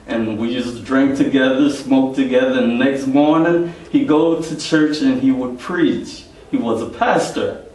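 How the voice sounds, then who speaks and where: A man hosting a church testimony interview, speaking in a plain, deliberate and earnest way. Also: medium pitch, darkish tone. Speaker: interviewer and host. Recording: roomy, echoey, distant mic.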